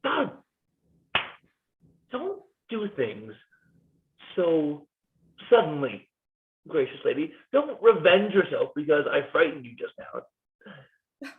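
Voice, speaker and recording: A man's voice: wildly swooping pitch, takes it slowly, long pauses, heavily acted. A man reads a weary Swiss mercenary, nervous and wry, whose voice swings wildly up and down. The sound is thin and phone-like, as over a video call. He pleads and jokes in the same breath. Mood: jumpy. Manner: nervous, wry and pleading, with jumpy pitch leaps. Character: weary, jittery Swiss mercenary soldier, middle-aged man. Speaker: cast reader in a play reading. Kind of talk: cold-read stage play reading.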